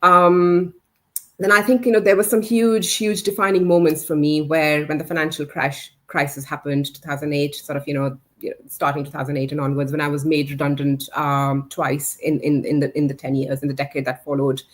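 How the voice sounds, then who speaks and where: A woman's voice: deep voice, little pitch movement, a little fast. A woman in her forties, not a native English speaker, telling her career story as an interview guest, with many fillers and restarts. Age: 40s. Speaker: interview guest, communications leader. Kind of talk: reflective career story in a live chat.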